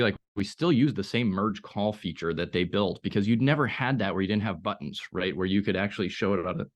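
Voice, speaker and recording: A man from Austria, a startup CEO guest, talking thoughtfully in a casual podcast conversation, with frequent restarts. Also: deep voice. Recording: slightly dull lo-fi audio.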